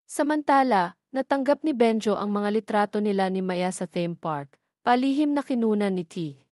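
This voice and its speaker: Female narrator for scripted romance audio stories, medium pitch, close dry recording. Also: animated, moderate pace, a little mellow, no room sound.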